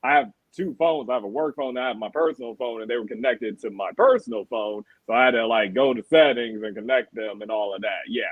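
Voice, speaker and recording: A male sports anchor joins the show over earbuds and talks in a loose, slangy way, with plenty of fillers. His pitch is middling, and the sound is close and dry. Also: a little fast, hardly any pauses, no room sound, phone-quality sound. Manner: relaxed and slangy, many fillers. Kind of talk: casual sports talk. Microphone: earbuds on a call.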